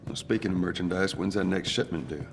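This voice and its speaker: A guarded smuggler in a gritty game. He has a deep, gruff voice and speaks in terse, sardonic grumbles. A faint rumble sits behind him. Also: fast. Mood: terse, businesslike. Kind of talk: naturalistic dramatic game acting.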